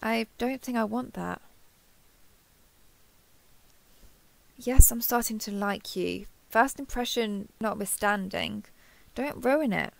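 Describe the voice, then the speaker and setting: A woman's voice: on the high side, a little slow, gravelly, no echo. A woman playing a kindhearted, awkward young woman who gets easily flustered, speaking hesitantly and earnestly in an intimate roleplay audio drama. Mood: hesitant, dry.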